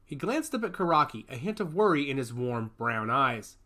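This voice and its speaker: Bright, lively male story narrator. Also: mid-range voice, moderate pace.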